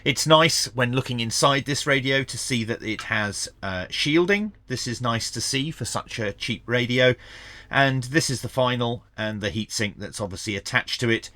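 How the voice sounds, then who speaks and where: A man giving a hands-on tech review in a measured, matter-of-fact way. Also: medium pitch, bright, forceful tone, no echo. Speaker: product reviewer.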